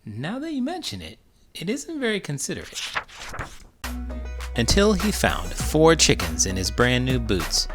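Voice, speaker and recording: A man's voice: unhurried, pauses often. A man reading a children's picture book aloud with great expression. His voice swoops dramatically up and down throughout, as music plays steadily in the background. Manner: expressive, with wide swings in pitch and volume. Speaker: children's book reader and teacher. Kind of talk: animated storybook read-aloud.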